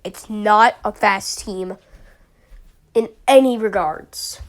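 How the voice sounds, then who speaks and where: A woman's voice: medium pitch, slow pace. A young woman with a sniffly summer cold, chatting casually and rambling about her team picks, with many fillers. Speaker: YouTuber analyzing a draft league team. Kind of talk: casual commentary.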